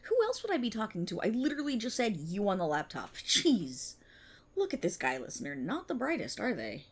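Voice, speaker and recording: A woman's voice: mid-range voice, wildly swooping pitch, brisk, husky, heavily acted. A woman playing an exasperated, self-aware policewoman in an audio roleplay, in a sarcastic, indignant and theatrical manner. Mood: snippy, puzzled. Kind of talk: ASMR audio roleplay monologue, dramatic acting.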